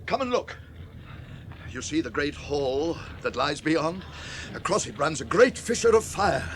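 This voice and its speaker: An older actor playing a weary but commanding old wizard in a radio drama, grand, theatrical and authoritative. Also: male voice, very animated, unhurried, slight background noise. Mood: urgent, guiding. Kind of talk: 1980s radio drama acting. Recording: radio drama with loud rumbling effects.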